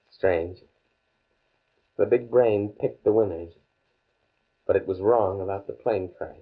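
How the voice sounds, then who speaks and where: An actor playing a young scientist recovering from a crash in a 1950s radio drama. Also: male voice, on the low side, slow, pauses often, slightly rough, exaggerated voice. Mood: musing. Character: young male scientist professor, shaken after a plane crash. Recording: muffled, phone-like old radio recording.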